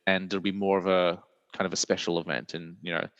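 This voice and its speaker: A man, hosting a casual film chat in a deep, croaky voice while he recovers from illness, rambling with many fillers. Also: level tone, a little fast, fairly bright tone, no room sound, big-room sound, lo-fi sound. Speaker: podcast host.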